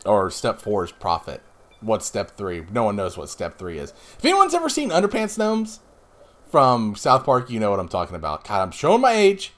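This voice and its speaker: A man in his thirties, a little hoarse today, casually explaining his moves while playing a space game, with rambling asides. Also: on the low side, huge pitch swings, normal speed. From Northeastern US. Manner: rambling, explanatory, self-deprecating. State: slightly hoarse. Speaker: let's play host. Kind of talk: casual gameplay commentary.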